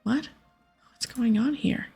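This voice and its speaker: Young female adventurer, read by a woman: soft, very husky, creaky, naive and befuddled, ASMR game read-aloud with music. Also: on the high side, takes it slowly, pitched above the performer's own voice, exaggerated voice. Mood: dazed. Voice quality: soft, breathy.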